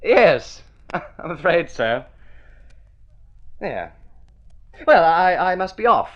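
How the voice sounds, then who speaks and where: Wildly animated, flippant young heir. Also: male voice, a little high, very slow, exaggerated voice. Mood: evasive, falsely cheerful. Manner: offhand, flippant, stammering when flustered. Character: breezy, impatient young heir, flippant and evasive. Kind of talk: golden-age radio drama acting. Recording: muffled vintage radio recording.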